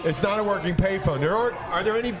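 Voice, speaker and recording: Male bartender-host type, high voice, animated and jokey, swears freely, swapping stories amid crowd noise. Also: fast. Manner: animated, jokey, profane, often laughing. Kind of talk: casual bar banter and storytelling. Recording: noisy bar crowd behind.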